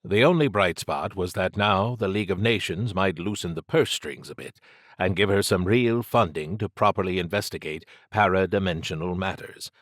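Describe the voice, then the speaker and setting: A man's voice: low-pitched, dry recording, studio quality. A man reading scripted dramatic fiction as an audiobook narrator.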